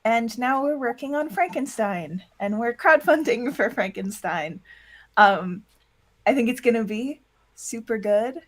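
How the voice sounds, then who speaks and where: A woman chatting casually as an interview guest, talking in a self-deprecating way. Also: mid-range voice. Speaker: interview guest, audio drama producer and voice actor.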